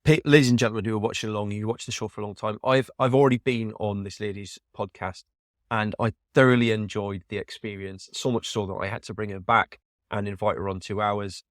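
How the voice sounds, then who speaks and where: A man's voice: lowish voice, steady tone, a little fast, no room sound, studio quality. A man hosting a podcast interview in a friendly, rambling way. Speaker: podcast host.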